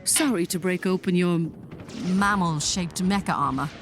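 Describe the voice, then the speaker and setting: A woman's voice: lowish voice, moderate pace, over music, close to an everyday voice. A woman playing a commanding, impatient alien general in a sci-fi audio drama, clipped and drily sarcastic. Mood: businesslike, confident.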